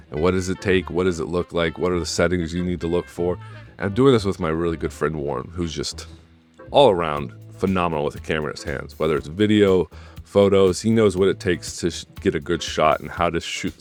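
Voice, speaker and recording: A man hosting his vlog from his editing desk, chatting casually and apologetically to viewers, his voice crackly and tired after a late night. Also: sits low. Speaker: vlogger host. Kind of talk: casual direct-to-camera talk.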